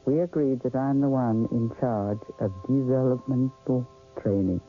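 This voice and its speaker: A man's voice: mid-range voice, a little slow, natural voice. A man playing a guarded, authoritative supervisor of gifted children in a radio drama, hesitant at times and firm at others. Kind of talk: 1980s radio drama, one side of a conversation. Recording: thin phone-like radio sound, faint music.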